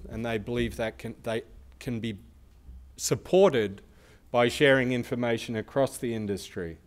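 A man's voice: mid-range voice, smooth. Man with a big resonant voice. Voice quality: big loud voice. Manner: explanatory, asks the audience questions. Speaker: research institute speaker. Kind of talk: conference presentation.

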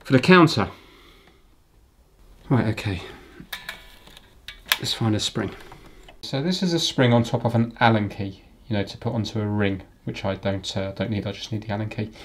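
A man's voice: lowish voice, normal speed. A middle-aged man giving casual, informal commentary, thinking aloud as he works on a repair. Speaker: repair video host. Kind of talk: casual hands-on commentary while working.

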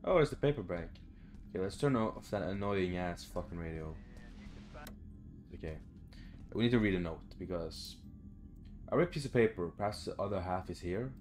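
A young man with a lowish voice does casual let's play commentary. He is sweary and lively in pitch, muttering to himself on a close mic over faint music. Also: normal speed, pauses often. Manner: sweary, talking to himself, reactive. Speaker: gaming YouTuber. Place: home room, indoors.

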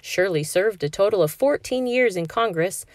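A woman narrating a children's picture book aloud in a clear, measured storytelling manner for a classroom. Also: mid-range voice, lively intonation, no room sound, clean studio sound.

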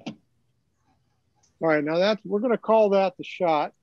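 A man's voice: high-pitched, slow pace, dry recording, muffled recording. An older man giving an informal live tutorial, explaining things in a conversational way. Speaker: presenter, hobbyist teaching video editing.

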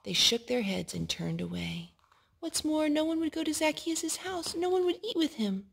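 A woman reading a picture book aloud to a child in an expressive way, pausing to ask questions. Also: sits high, creaky. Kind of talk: storytime read-aloud. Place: living room indoors at a couch.

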